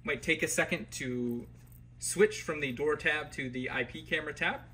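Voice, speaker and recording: A man's voice: on the high side, moderate pace, pushed and bright, slight background noise. A man presenting a step-by-step product tutorial in an informal, explanatory way. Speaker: tutorial presenter for a security camera company.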